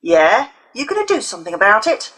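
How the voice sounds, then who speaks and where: A woman's voice: mid-range voice, huge pitch swings, brisk, a bit bright, breathy edge, voice pushed up, full character voice, low-quality recording. A woman voicing a swaggering schoolboy gang leader in an audio novel, crowing and taunting. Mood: aggressive, challenging.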